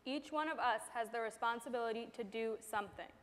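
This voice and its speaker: A reverberant hall surrounds a young woman performing a scripted recitation. Her voice sits a little high, and she delivers the lines solemnly, like a student on stage. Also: fairly quick, fairly bright tone. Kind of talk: scripted readers theater recitation. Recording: echoey auditorium with long reverb.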